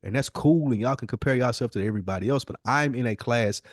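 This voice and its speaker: An American man chats about hip-hop in a slangy, opinionated style. His voice sits in a middle-to-higher male register and is recorded very dry, with emphatic delivery. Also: fast talker, no room sound. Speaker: podcast guest. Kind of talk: casual hip-hop discussion.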